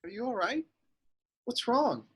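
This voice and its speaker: A man's voice: extremely high pitch, sing-song, a little slow, breathy edge, full character voice. A man playing an earnest, curious young apprentice with a questioning manner in a scripted reading. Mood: concerned. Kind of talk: scripted table read acting.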